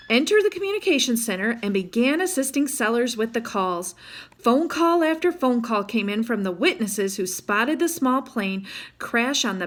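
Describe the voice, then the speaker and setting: Woman, children's story narrator, high pitch, animated swooping intonation, dramatic read-aloud delivery. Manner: dramatic, with asides to young listeners. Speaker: storybook reader.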